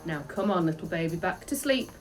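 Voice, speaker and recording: A woman playing an elderly storybook nanny who bosses and fusses in equal measure. She is brisk and coaxing, scolding one moment and doting the next. Faint music plays behind her. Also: lowish voice, lively intonation, lightly acted. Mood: coaxing, pleased. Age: older. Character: bossy, doting old nanny, affectionate and scolding. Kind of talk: children's storybook character voice.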